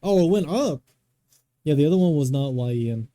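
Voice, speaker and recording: Young American man, dark mellow voice. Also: a little high, expressive, fairly quick, dry recording. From United States. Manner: blunt, argumentative, swearing. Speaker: podcast co-host. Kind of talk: casual banter.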